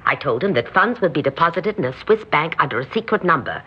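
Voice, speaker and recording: An actress in an old-time radio drama playing an imperious, grandiose, scheming society hostess with a commanding, theatrical delivery. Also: female voice, low-pitched, expressive, fast, slight background noise. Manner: theatrical, commanding, self-assured. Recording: dull, muffled old radio recording.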